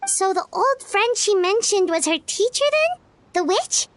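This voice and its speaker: An actress voicing a small, excitable fairy companion who talks about herself in the third person. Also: female voice, very high-pitched, a little slow, exaggerated voice. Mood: chatty. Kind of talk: video game dialogue. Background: soft music.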